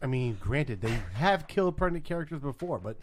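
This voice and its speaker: A man's voice: mid-range voice, lively intonation, brisk, a crowd in the background. A man co-hosting a casual podcast, joking and blunt and swearing freely. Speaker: podcast co-host.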